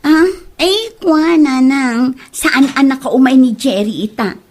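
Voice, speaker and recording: A young Ilocano daughter played by a woman, slightly high in voice. She sounds weary and hesitant, drawing out her words in comedy-drama style. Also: a little slow.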